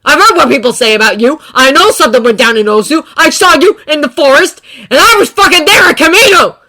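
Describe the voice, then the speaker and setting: A woman's voice: mid-range voice, lively intonation, a little fast, pitched above the performer's own voice. Woman doing a furious swearing teen boy. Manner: shouting, swearing, voice cracking. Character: furious teenage boy hero, explosive temper. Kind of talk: fan fiction reading.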